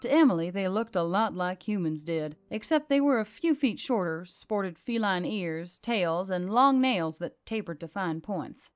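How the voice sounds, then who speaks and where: A woman's voice: mid-range voice, animated, a little slow, few pauses, clear, resonant tone. A woman reading scripted fantasy fiction as an audiobook narrator. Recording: background music under the reading. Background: music.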